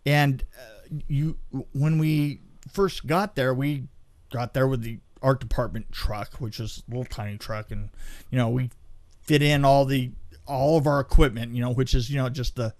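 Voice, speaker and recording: A man in casual chat, telling anecdotes in a rambling, animated way. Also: mid-range voice, normal speed, no room sound. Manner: rambling storyteller, acts out sound effects.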